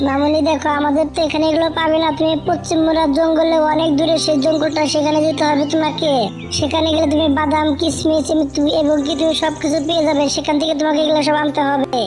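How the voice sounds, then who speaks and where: High, rapid, fretful bird voiced by a woman. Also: flat delivery, close to an everyday voice. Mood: worried, insistent. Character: female cartoon birds, chatty, fretful, complaining. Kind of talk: amateur cartoon voice acting. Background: music.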